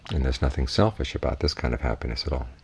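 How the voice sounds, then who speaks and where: A man teaching in a guided meditation, giving measured, unhurried instructions with long pauses. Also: a bass voice, animated, gravelly, husky. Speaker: Buddhist monk, meditation teacher.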